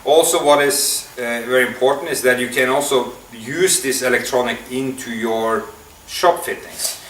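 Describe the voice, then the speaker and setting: A man giving an unscripted product demonstration, explaining step by step in a halting, hesitant way. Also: mid-range voice, a bit bright. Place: indoor room at a table. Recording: echoey room, distant mic, faint noise.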